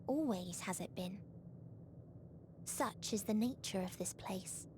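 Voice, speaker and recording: A woman playing a mournful, calmly merciless otherworldly being in a video game, speaking solemnly and breathily. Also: medium pitch, takes it slowly, noisy background, lightly acted. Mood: bleak resignation. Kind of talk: scripted video game voice acting.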